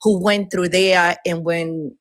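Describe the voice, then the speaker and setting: A woman with a Latin American Spanish accent speaks on a live panel. She explains herself earnestly and at length, with a slightly low voice. The sound is close and dry. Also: steady tone, slow pace, dry recording. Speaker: caller.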